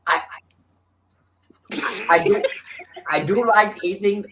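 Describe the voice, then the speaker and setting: A performer voicing a crude, brazen immigrant woman in improvised comedy, dropped articles and a halting, clenched delivery. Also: medium pitch, big pitch swings, fairly bright tone, full character voice. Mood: resigned, matter-of-fact. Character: crude immigrant woman with broken-English foreign accent, brazen. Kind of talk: improvised comic impersonation.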